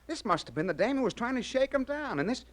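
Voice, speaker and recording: Slangy police sergeant, swooping pitch. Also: male voice, big pitch swings, fast. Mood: knowing. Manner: terse, slangy, laconic. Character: matter-of-fact male police sergeant, detective's folksy sidekick. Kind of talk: mid-century radio crime drama acting.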